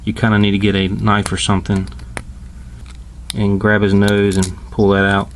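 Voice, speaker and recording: A man giving a casual, informal hands-on toy review, explaining step by step with hesitant pauses. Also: on the low side, completely flat delivery. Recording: clearly noisy home recording.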